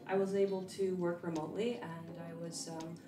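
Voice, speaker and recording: A young woman in her twenties, a vet student from California whose first language was Russian, chatting casually in an interview with relaxed fillers and restarts. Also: fairly low pitch, fairly quick, reverberant, far from the mic, over music. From California, USA.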